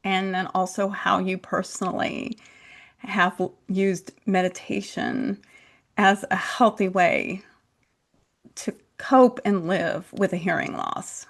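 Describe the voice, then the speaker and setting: A middle-aged woman hosting an interview, speaking politely and deliberately with a deaf accent. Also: mid-range voice, steady tone, creaky. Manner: slow, deliberate, polite, with frequent laughs. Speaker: podcast host. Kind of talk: casual interview.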